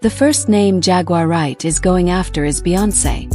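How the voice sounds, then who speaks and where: Woman, lowish pitch, scripted narrator delivery, prominent music bed. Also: measured pace, a little mellow. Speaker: video narrator.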